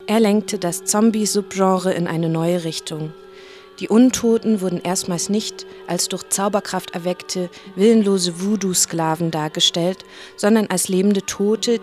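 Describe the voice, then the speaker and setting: A woman's voice: fairly low pitch, a little mellow. A woman presents a radio feature. She reads scripted narration at close range, with faint music underneath.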